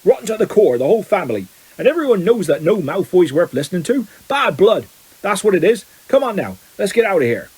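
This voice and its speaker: A huge, protective storybook giant, played by a male narrator. He speaks up loud and blunt, with a husky edge and a raised, hearty delivery. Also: high voice, sing-song, brisk, no echo, full character voice, low-quality recording. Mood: disgusted, protective. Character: big, blunt, protective gruff giant of a man.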